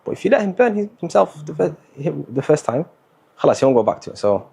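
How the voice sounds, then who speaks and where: A young man, talking as a guest in a casual interview, relaxed and hesitant, with many fillers and Arabic words mixed in. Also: fairly high pitch, fast talker, vocal fry, husky. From Somali, born in the Netherlands, raised in England. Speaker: guest, university student.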